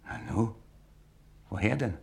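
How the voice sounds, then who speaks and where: Ein Schauspieler spielt in einem deutschen Hörspiel einen misstrauischen Mann, der widerwillig eine Waffe verkauft und bedächtig nachbohrt. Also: male voice, fairly low pitch, expressive, gravelly, a little mellow. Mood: reluctant, instructive. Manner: probing, deliberate, questioning. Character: wary man reluctantly selling a revolver, probing and needling. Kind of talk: German radio play acting.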